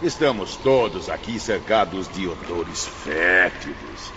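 Radio drama: quarrelsome, disgusted spirit, slow emphatic speech, muffled noisy recording. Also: male voice, deep voice, expressive, exaggerated voice. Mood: weary disgust. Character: bitter, quarrelsome, disgusted lost soul. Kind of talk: theatrical radio drama acting.

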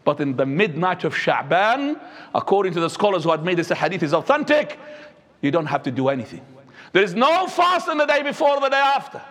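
A man, preaching a sermon as an imam, swinging between high and low. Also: very animated, brisk, fairly bright tone. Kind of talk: Friday sermon. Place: mosque. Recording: echoey hall.

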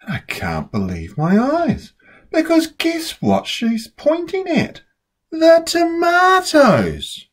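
A woman reading a children's story aloud in an expressive, scripted narration style. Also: high-pitched, slow. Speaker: storybook reader.

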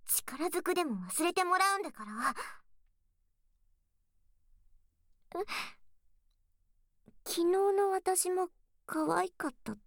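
A woman's voice: very high-pitched, a little slow, no room sound, exaggerated voice. A woman playing a bashful, secretly clingy tsundere young girlfriend in an intimate ASMR voice drama, flustered and pouty, close to the microphone. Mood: pouty, embarrassed. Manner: flustered, stammering, pouty, softening into breathy murmurs. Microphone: close binaural microphone, dry studio sound.

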